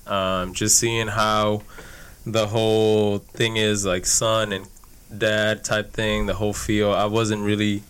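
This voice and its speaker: A man's voice: on the low side, little pitch movement, takes it slowly, fairly bright tone, clean tone. A young man from Houston answering casually as an interview guest, in a reflective, informal way. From Houston, Texas. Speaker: youth soccer coach, podcast guest.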